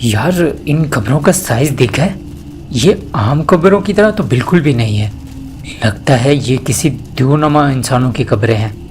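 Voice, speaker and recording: A man's voice: mid-range voice, animated, a little slow, a little mellow, a little hiss or hum, close to an everyday voice. A man playing a wary, questioning young university student in an audio drama. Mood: amazed.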